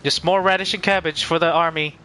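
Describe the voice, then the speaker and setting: Bright-voiced man streaming a video game. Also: fairly high pitch, fairly even intonation, brisk, soft background music. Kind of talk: live game commentary, casual reactions.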